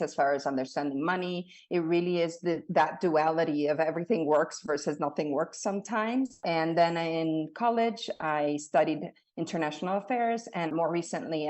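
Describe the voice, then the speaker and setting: Interview guest: woman, 30s, grew up in Latin America, low voice, earnest and conversational, restarts often. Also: a little mellow. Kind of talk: casual conversational storytelling.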